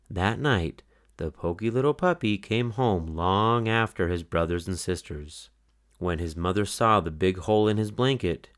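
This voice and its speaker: A narrator reading a children's picture book aloud in a scripted storytelling style. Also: mid-range voice.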